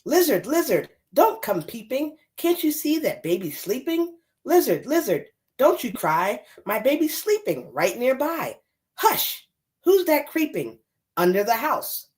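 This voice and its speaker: Anxious young-child's mama for a picture book, woman reader: pleading, hushing, sing-song, swooping intonation. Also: high-pitched, big pitch swings, measured pace, pitched above the performer's own voice. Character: anxious mother hushing animals to protect her sleeping baby.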